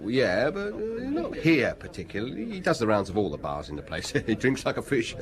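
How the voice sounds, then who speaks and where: A man's voice: mid-range voice, huge pitch swings, a little fast, a crowd in the background. A chatty gossiping barman. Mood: gossipy. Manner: friendly, gossiping, chuckling. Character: chatty, gossipy male bartender. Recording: crowded bar murmur behind.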